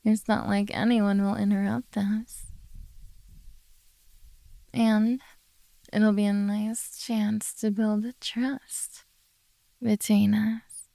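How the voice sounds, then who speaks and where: A woman voicing a possessive, doting yet menacing beast-girl captor in a soft, coaxing ASMR roleplay. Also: mid-range voice, level tone, creaky, dry recording. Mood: coaxing, soothing. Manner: slow, coaxing, quietly domineering.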